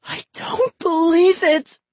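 A man's voice: mid-range voice, lively intonation, unhurried, a little mellow, husky, dry recording. A man voicing a furious young girl who screams and wails in a storybook reading. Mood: alarmed. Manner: screaming, wailing.

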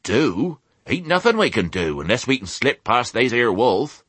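A man's voice: mid-range voice, very animated, unhurried, fairly bright tone, no echo, voice pushed up, exaggerated voice. A man voicing a plain-spoken old female sheep with a folksy West Country English dialect. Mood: grim, resigned. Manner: rustic dialect, gruffly folksy.

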